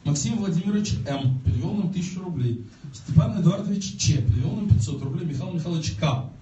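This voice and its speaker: A man, a campaign organizer, addressing a public meeting in a brisk, joking manner. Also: high voice, expressive, warm tone, dull audio. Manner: brisk, joking, reading out donations. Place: crowded meeting room indoors. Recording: echoey room, mic far off, faint noise.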